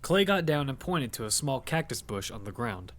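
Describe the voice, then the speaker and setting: A man's voice: medium pitch, very animated, normal speed, close to an everyday voice. A man narrating an audio fiction survival story in character, as one who tells of a battle. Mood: storytelling.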